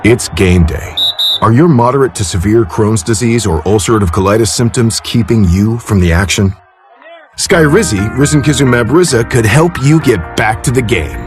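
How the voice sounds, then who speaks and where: A man reading a scripted commercial with calm, measured delivery. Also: lowish voice, soft background music. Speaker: ad voiceover.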